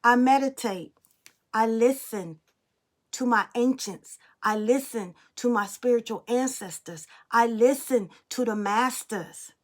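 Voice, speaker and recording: A young adult woman with a fairly high voice talks to camera as a spiritual reader. She is blunt and emphatic, with free swearing, in a loose, casual monologue. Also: slow pace. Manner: blunt, emphatic, freely profane.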